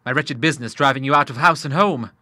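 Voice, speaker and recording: A man's voice: mid-range voice, quick pace, pushed and bright, no room sound. Man plays a troubled young city man, earnest and formal, dry close-miked read.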